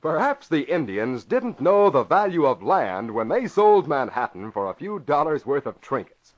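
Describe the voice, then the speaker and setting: A man's voice: on the high side, sing-song, moderate pace, slight background noise. An American man announcing for 1940s radio in an emphatic, dramatic scripted delivery. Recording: muffled vintage radio recording.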